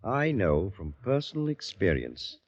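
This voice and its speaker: An actor playing a measured, enigmatic confidant, speaking formally and deliberately in 1940s radio suspense style. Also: male voice, low voice, huge pitch swings, dry recording, full character voice. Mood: knowing, reassuring. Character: measured, enigmatic male confidant who doubts and probes. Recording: dry, dull 1940s radio recording.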